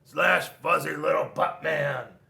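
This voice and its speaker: A man voicing a boastful, battle-hungry rock warrior for a storybook, with bombastic, drawn-out battle cries. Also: a little high, steady tone, creaky, sharp tone, full character voice. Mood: booming, triumphant. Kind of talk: storybook read-aloud. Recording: echoey room.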